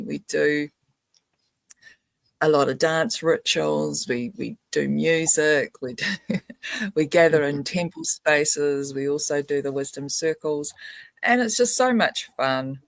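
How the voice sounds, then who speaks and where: An older woman from New Zealand giving a soft, meandering spiritual talk on a video call, laughing often. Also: sits low, unhurried, vocal fry, no room sound. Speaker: spiritual grandmother representative.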